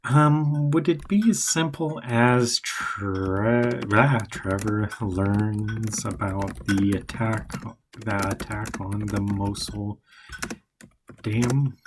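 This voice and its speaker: A hoarse man who is losing his voice thinks aloud as he outlines a novel, hesitant and rambling. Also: lowish voice, background noise. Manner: hesitant and rambling, many pauses and restarts. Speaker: writer.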